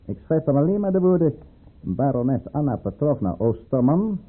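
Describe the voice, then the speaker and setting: Casting: scheming tsarist governor and lover, suave and menacing, highly animated pitch, husky muffled sound of an old tinny radio play. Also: male voice. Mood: menacing, commanding. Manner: suave, formal, coldly commanding, deliberate. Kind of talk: Dutch radio drama acting.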